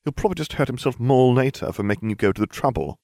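Male reader as a self-righteous boy hero for a comic audiobook; glib, pompous, pitched up, swinging delivery. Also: lively intonation, quick pace, creaky, dark tone, no echo, clean studio sound. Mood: smug. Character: cocky, self-righteous schoolboy, indignant and oblivious.

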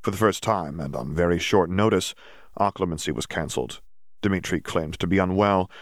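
A man narrates a work of fiction in a low voice. The delivery is scripted audiobook storytelling, and the recording is dry, with little room sound. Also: dry recording.

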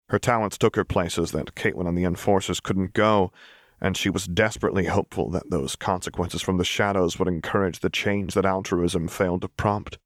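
A man's voice: low voice, no room sound, clean studio sound. A man reading fiction aloud as scripted audiobook narration. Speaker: audiobook reader.

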